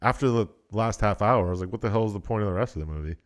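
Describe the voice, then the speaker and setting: Low-voiced, laid-back man. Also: racing. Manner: laid-back, blunt, short answers. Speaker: podcast co-host. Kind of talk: casual chat.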